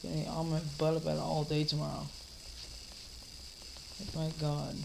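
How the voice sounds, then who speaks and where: A man's voice: on the high side, completely flat delivery, normal speed, creaky, noisy background. A teenage boy coming down with a cold, rambling casually through a home vlog with lots of fillers and restarts. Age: teens. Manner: mock-scolds an imaginary heckler. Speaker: vlogger. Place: dim living room, seated in a recliner.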